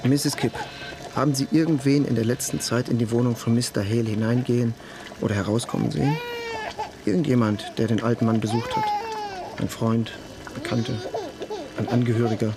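An actor playing a matter-of-fact police detective questioning people methodically in a radio crime drama. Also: male voice, medium pitch, steady tone, fairly quick, natural voice. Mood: businesslike, probing.

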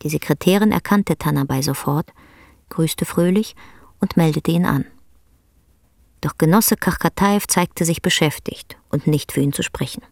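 A woman playing the storyteller of a somber tale, narrating a radio drama in a measured, grave, literary way. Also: low-pitched, gravelly, a little mellow, no room sound, studio quality.

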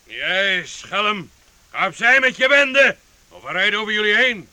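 An actor playing a haughty, angry knight in a youth radio play, imperious and scornful. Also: male voice, sits high, very animated, measured pace, pushed and bright, no room sound, exaggerated voice. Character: haughty, angry knight threatening his quarry.

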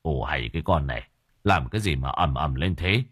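A man doing a gruff, scolding old man. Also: extremely low pitch, lively intonation, normal speed, a bit bright, no echo, studio quality, pitched below the performer's own voice, full character voice. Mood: irritated scolding.

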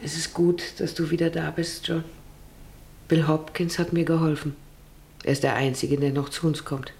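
A troubled wife and mother in a radio play. Her voice is creaky, and she speaks carefully, with hesitant pleading and a heavy sense of burden. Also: deep voice, flat delivery, normal speed, a little mellow, slight background noise. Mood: careful, subdued. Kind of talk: radio drama acting.

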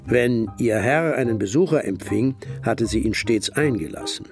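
A male actor is a reflective narrator recalling a detective case in a radio drama. He has a medium-pitched voice and a measured, literary delivery, with music clearly playing behind him. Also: animated, natural voice.

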